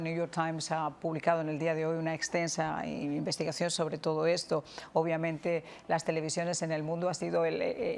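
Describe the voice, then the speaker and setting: A man hosting a news interview, putting questions in a halting, wordy and deferential way. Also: a little high, creaky. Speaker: TV interviewer host.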